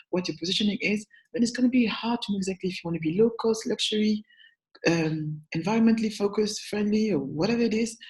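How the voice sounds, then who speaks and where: A woman's voice: fairly low pitch, a little mellow, low-quality recording. A young adult woman with a French accent, a brand strategist giving chatty, upbeat business advice to camera, often correcting herself and checking in with the viewer. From France. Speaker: brand strategist and agency founder. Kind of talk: talking-head business advice video.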